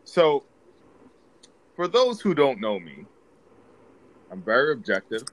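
A man's voice: medium pitch, huge pitch swings, takes it slowly. A man from the Bronx hosting a podcast, talking earnestly and with feeling. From the Bronx, New York. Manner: earnest, emotional. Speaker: podcast host. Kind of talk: casual podcast chat.

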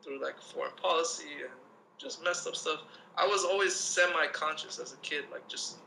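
Guy in his 30s, raised in the states, gravelly voice, rambly chill interview chat with lotsa "you know". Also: fairly high pitch, normal speed, bright, forceful tone, slight background noise, rough recording. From Lebanon. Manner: laid-back, rambling, many fillers like "you know what I'm saying". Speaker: guest, breakdancer.

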